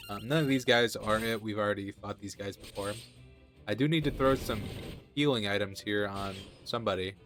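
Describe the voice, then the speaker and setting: A man with a cold, sounding nasal, casually commenting as he plays a video game. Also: medium pitch. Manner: relaxed, chatty, thinking aloud. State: has a cold. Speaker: gaming channel host.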